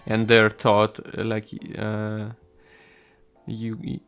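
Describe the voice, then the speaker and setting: Young russian-native man, unhurried drawl, rambly and swears a lot, quiet music under him. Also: lowish voice, monotone, gravelly, clear, resonant tone. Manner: laid-back, rambling, swears casually. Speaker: solo game developer streaming. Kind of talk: casual chat with stream viewers while coding.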